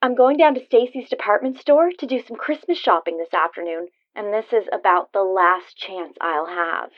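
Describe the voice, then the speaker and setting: A woman's voice: medium pitch, moderate pace, close to an everyday voice. A woman playing a polite, sweetly breezy teenage girl in 1940s-style radio comedy, on a dull phone-call recording. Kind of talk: 1940s radio sitcom acting.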